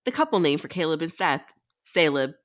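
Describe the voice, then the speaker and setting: A woman's voice: sits low, very animated, brisk. A woman co-hosting a podcast, chatting casually in a playful, jokey way. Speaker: podcast co-host. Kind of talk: casual chat.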